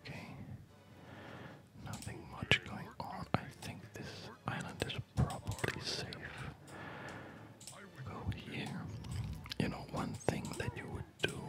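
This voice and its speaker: Slow, whispering ASMR gamer. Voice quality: breathy, mostly unvoiced whisper. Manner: musing aloud to self in short, halting phrases. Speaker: gamer narrating own gameplay. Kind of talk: ASMR whispered video game commentary.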